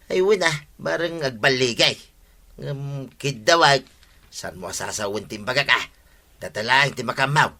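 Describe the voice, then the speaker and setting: Sharp, bright-voiced scheming village husband. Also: male voice, medium pitch, normal speed. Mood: evasive. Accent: Ilocano. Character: scheming, henpecked village husband who raises pigs. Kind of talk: comedy radio drama acting.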